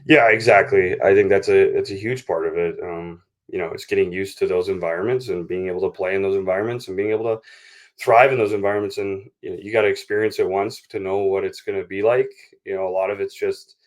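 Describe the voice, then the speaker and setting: A man talking as a baseball coach in an interview, explaining things in a measured, conversational way. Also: sits low, steady tone.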